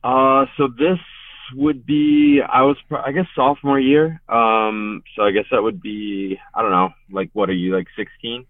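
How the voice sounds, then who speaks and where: A man's voice: medium pitch, fairly even intonation, unhurried, no room sound. A young man from Richmond, Virginia, guesting on a podcast and talking about his bands in a rambling way, full of filler words. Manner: laid-back, rambling, heavy on filler words. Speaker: guest musician. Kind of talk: casual podcast interview. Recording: tinny, phone-like remote call.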